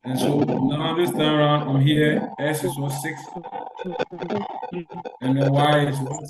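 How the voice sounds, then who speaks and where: A man's voice: fairly high pitch, level tone, unhurried, reverberant, over loud music, low-quality recording. A man teaching an online lecture who quizzes students with repeated short questions and restates his points. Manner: repetitive call-and-response questioning.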